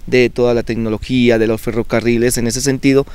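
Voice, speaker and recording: A young man from Colombia, a seminarian, calmly and earnestly explaining in a televised interview, with frequent fillers. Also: mid-range voice, quick pace, clear, resonant tone, no echo. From Colombia (Bucaramanga, Santander). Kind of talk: conversational TV interview.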